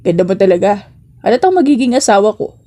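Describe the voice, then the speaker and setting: A woman's voice: medium pitch, big pitch swings, fast, dark tone. Woman reading as a sweet, flirtatious male boss. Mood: sweet, affectionate. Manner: tender, flirtatious. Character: Cairo, sweet teasing male boss in love. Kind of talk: romance audiobook dialogue.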